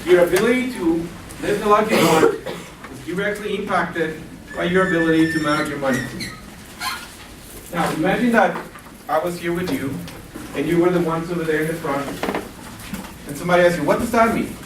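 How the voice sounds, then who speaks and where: A man's voice: on the high side, normal speed, pauses often. A man in his forties from Guatemala and Costa Rica, giving a chatty financial literacy workshop and asking the audience questions. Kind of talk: classroom workshop presentation. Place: lecture room. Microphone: far camera. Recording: echoey room from far off, noisy.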